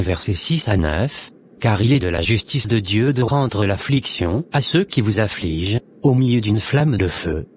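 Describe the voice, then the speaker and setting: Man acting low-voiced solemn devout convert, noisy recording. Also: a little mellow, natural voice.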